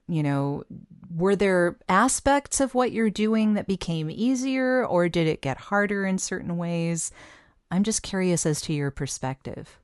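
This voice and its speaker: Low-voiced woman hosting a podcast interview. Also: smooth, dry recording, studio quality. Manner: conversational, laughs often. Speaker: podcast host and voice actor. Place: professional home voice studio.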